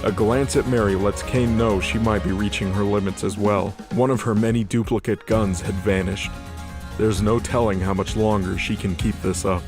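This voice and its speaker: A man's voice: on the low side, steady tone, normal speed, over music. A man reading scripted, dramatic narration as the narrator of a fantasy audio drama.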